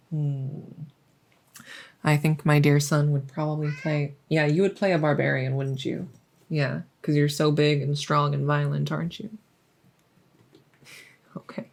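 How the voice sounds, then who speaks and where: A woman playing a friendly, chatty dungeon master in a soft-spoken ASMR roleplay, musing and hesitant as she talks intimately to the listener. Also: low-pitched, gravelly, full character voice. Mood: playful, doting. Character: friendly female dungeon master, chatty, teasing, doting cat owner.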